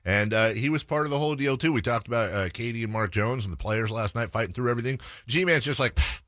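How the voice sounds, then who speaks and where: A man's voice: medium pitch, fast talker, fairly bright tone, no echo, studio quality. A man hosting a sports radio show, speaking in casual banter as he welcomes and questions guests.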